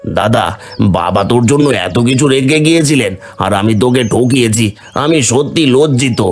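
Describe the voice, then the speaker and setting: Cartoon younger brother, played by a man, medium pitch, deferential, light music underneath. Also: lively intonation, moderate pace, natural voice. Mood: ashamed. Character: repentant younger brother, once greedy, eager follower.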